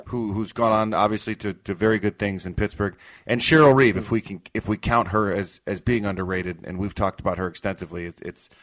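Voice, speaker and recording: Male American sports commentator, slightly deep register, loose and informal, reasons out opinions as he goes. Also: fairly quick, hardly any pauses, a bit bright. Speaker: sports show panelist. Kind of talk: casual sports talk.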